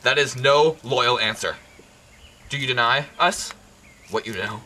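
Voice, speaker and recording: A man's voice: medium pitch, measured pace, sharp tone, a little hiss or hum. A man playing a proud, suspicious, commanding king in an amateur Greek tragedy, speaking in formal declamation. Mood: angry, suspicious.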